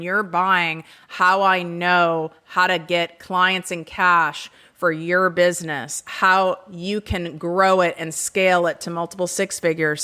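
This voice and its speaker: A woman hosting her own podcast, talking frankly and with self-deprecating humor in an energetic solo monologue. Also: fairly low pitch. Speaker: podcast host.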